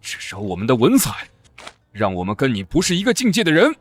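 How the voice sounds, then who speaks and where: Man as a proud, indignant scholar, dramatically animated. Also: medium pitch, brisk. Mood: haughty. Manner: oratorical, haughty. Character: proud, indignant male scholar, righteous and unbending. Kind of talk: Chinese historical comic drama dubbing.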